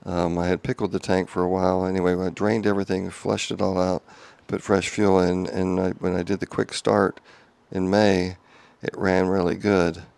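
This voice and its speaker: A man giving a casual update video from his home garage, talking quietly in a monotone with many ums and restarts, on a small clip-on mic. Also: deep voice, moderate pace, vocal fry, hardly any pauses, clean tone, no echo. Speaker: home car restorer. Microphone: small clip-on wireless mic.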